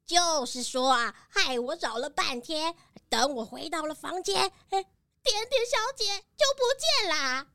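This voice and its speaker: A woman voicing an anxious, stammering nanny in a children's audio drama, with soft music underneath. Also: high voice, lively intonation, measured pace, no echo, studio quality, exaggerated voice. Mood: flustered. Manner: flustered, stammering.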